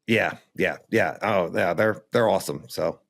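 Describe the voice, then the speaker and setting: A man with a Philadelphia accent, hosting a casual podcast chat, talkative and quick to laugh. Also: deep voice, creaky, a bit bright. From Philadelphia suburbs, USA. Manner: upbeat, talkative, laughs easily. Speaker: podcast host.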